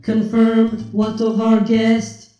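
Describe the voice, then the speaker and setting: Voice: mid-range voice, completely flat delivery, slow, mellow, echoey room, highs cut off, faint music behind, close to an everyday voice. A performer voicing a cold, condescending ship artificial intelligence in a fan fiction reading, speaking in formal, clinical, precise terms. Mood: curt.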